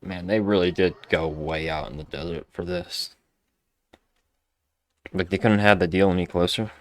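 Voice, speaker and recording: Low-pitched male gamer, casual commentary, laid-back, slangy, thinking aloud. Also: fairly even intonation, moderate pace. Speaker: gamer, video host.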